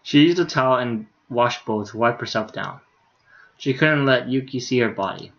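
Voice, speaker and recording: A man's voice: medium pitch, normal speed, fairly bright tone, dull audio. A man reading a visual novel aloud on a livestream in a casual, unpolished way. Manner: casual, stumbles over words, swears in asides. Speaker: game streamer.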